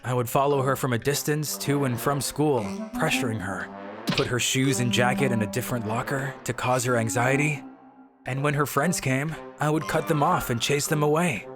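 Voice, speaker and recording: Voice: mid-range voice, fairly even intonation, normal speed, over loud music, close to an everyday voice. A performer voicing a brooding, cowardly teenage schoolboy who narrates a manga story in a melodramatic, scheming way. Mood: brooding, vengeful. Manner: melodramatic, sinister chuckling schemer.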